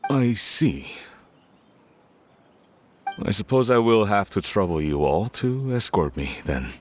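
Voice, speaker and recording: A man's voice: fairly low pitch, expressive, takes it slowly. An actor playing a stern, reserved older male tea merchant in a video game, speaking in a terse, cool way. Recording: game audio with faint music behind.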